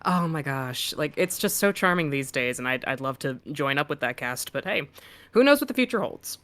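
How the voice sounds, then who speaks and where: Effusive medium-pitched speaker, casual chat. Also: lively intonation, normal speed, a bit bright, no room sound. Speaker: voice actor on a panel.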